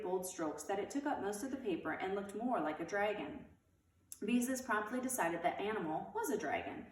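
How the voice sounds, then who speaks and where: A woman reading a children's storybook aloud in an expressive narrating style. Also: medium pitch. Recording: roomy echo, distant mic.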